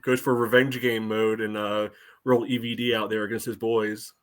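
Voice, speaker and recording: Low-pitched man chatting about sport. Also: little pitch movement, normal speed. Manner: informal, peppers talk with "you know". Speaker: podcast panelist. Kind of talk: casual sports analysis.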